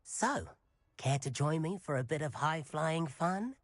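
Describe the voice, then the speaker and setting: A man's voice: on the high side, animated, unhurried. A man playing a cheeky, daring teenage schoolboy wizard in a video game, with a breezy, persuasive manner.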